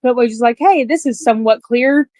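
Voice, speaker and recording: A woman's voice: fairly high pitch, fairly quick, a little mellow, no echo, clean studio sound. A woman chatting casually as a player in a tabletop role-playing game.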